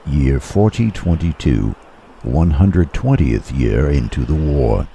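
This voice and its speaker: A man's voice: a bass voice, lively intonation, slow, dark tone, slight background noise, heavily acted. A man reading scripted narration for an audio drama as a grave storyteller.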